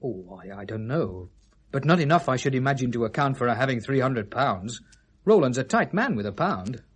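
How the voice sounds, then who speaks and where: A man's voice: medium pitch, sing-song, moderate pace, husky. An actor in a 1970s British radio drama playing a defensive, articulate Englishman with a dry, precise, prickly manner. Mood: guarded. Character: defensive, articulate man questioned about dead young woman.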